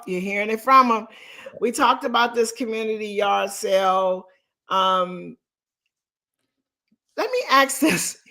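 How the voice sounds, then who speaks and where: A woman, coaching real estate agents in an animated, emphatic way. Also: medium pitch. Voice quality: raspy. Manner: animated, emphatic, talks straight to the audience. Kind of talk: live online coaching session.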